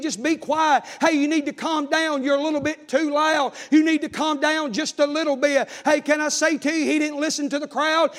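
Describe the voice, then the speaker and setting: A man preaching a fervent evangelical sermon, exhorting the congregation with repeated phrases and rhetorical questions. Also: very high voice.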